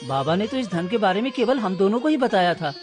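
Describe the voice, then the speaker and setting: A man plays a poor young woodcutter from a children's cartoon tale. He sounds humble, anxious and plaintive, deferring like a younger brother, with clear music playing behind him. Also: sits high, big pitch swings, fairly quick. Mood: meek. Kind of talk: dramatised children's story.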